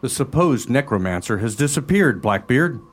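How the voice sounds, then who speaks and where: A man's voice: medium pitch, big pitch swings, normal speed, husky. A man playing an eager, gallant junior military officer in a radio play, speaking emphatically. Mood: eager, reassuring.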